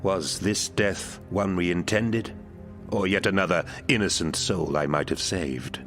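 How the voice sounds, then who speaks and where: Very deep, brooding leader. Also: male voice, measured pace, a bit bright, faint music behind. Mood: brooding regret. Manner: grave, brooding. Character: weary, burdened leader regretting his failures. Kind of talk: dramatic scripted monologue.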